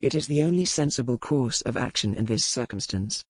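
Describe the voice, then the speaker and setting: A woman voicing a formal, resigned male pirate captain in an audiobook, speaking with measured gravity. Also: rumbling low, lively intonation, slightly rough, no echo, pitched below the performer's own voice, heavily acted. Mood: firm, grave. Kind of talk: dramatic audiobook character reading.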